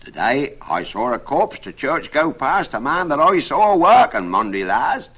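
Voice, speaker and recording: Storybook role: gullible working carpenter, acted by a male narrator, fretful and oath-swearing, voice rough-edged and swinging widely. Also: fairly high pitch, sing-song, measured pace, pitched above the performer's own voice, heavily acted. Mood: worried, sententious. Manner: exclamatory, oath-swearing, loud. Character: pious, credulous working-class carpenter, alarmed and fretful. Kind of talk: dramatised verse storytelling.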